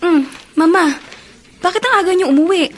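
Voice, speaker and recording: A young woman with a high voice, an emotional daughter and girlfriend. She speaks in the heartfelt style of a Filipino radio serial. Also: normal speed. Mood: uneasy, resentful. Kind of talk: Filipino radio drama acting.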